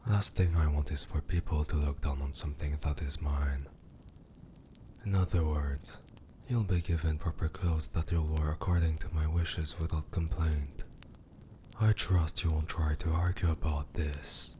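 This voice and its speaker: Man voicing a very deep, possessive demon king. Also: fairly even intonation, a little hiss or hum. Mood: smug, possessive. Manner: slow, deliberate, condescending, softly menacing. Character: cruel, possessive male demon king, coolly amused. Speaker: ASMR roleplay performer. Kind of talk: scripted ASMR character roleplay.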